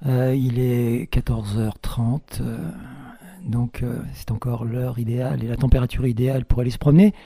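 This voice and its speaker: A man's voice: medium pitch, flat delivery, moderate pace, creaky, warm tone, dry recording, studio quality. A man hosting a radio interview, introducing his guest in a rambling, hesitant way full of fillers.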